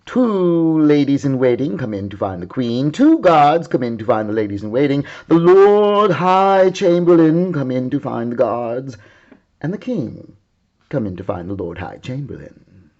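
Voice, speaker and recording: A man reading a children's story aloud in a dramatic, deliberate, theatrical way. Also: medium pitch, animated, a little mellow, muffled recording. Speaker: storyteller, art teacher.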